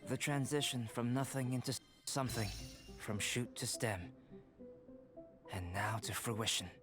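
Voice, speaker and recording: A man playing a reserved young alchemist in a video game, speaking in a measured, formal, contemplative way. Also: mid-range voice, close to an everyday voice. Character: calm, scholarly young male alchemist, reserved and enigmatic. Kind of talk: video game character acting. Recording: game music behind the voice.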